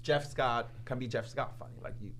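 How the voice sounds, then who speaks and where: A man with a Chinese accent, a comedian chatting casually, speaking haltingly with frequent restarts. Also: medium pitch, fairly bright tone, a little hiss or hum. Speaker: comedian and club door host. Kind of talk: casual chat.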